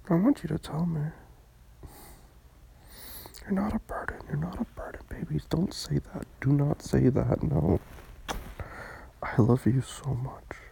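A person playing a tender, protective romantic partner in an intimate comfort roleplay, speaking in hushed, soothing, breathy murmurs. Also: mid-range voice, heavily acted, rough recording.

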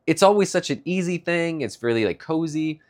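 A man's voice: on the high side, animated, normal speed, darkish tone. A young man with a stuffy nose giving a casual, rambling morning check-in, laughing often between thoughts. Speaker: streamer.

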